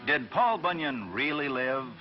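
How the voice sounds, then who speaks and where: Man telling a tall tale, animated pitch. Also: unhurried. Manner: dramatic, expressive storytelling. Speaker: storyteller, narrator. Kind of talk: scripted children's folk-tale narration. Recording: dull vintage recording, faint music behind.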